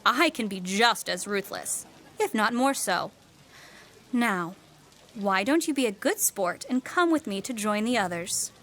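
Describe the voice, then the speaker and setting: A woman playing a composed young heiress in a period audio drama, firm, measured and politely threatening. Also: mid-range voice, lively intonation, a bit bright, a little hiss or hum. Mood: quietly threatening.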